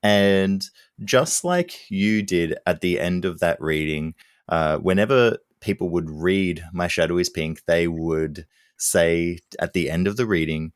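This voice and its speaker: A man from Australia with an Australian accent, a children's author answering interview questions in a relaxed way with a few fillers. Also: sits low, measured pace, clear, resonant tone, no room sound, clean studio sound. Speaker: children's book author, guest.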